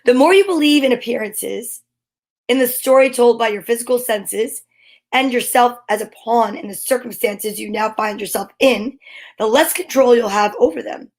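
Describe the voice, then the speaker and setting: A woman hosting an online story time, speaking in a playful, expressive way as if chatting casually with viewers. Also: on the high side, normal speed. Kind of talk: casual livestream chat with short read-aloud passages.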